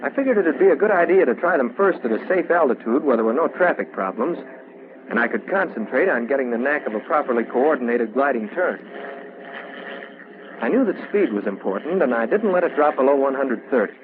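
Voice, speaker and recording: Male actor as confident young American pilot, 1940s training film narration, measured storytelling, tinny bandwidth, clear background noise. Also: mid-range voice, lively intonation, close to an everyday voice. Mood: matter-of-fact. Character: confident young male military pilot recounting his practice flights. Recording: muffled, very noisy 1940s film soundtrack.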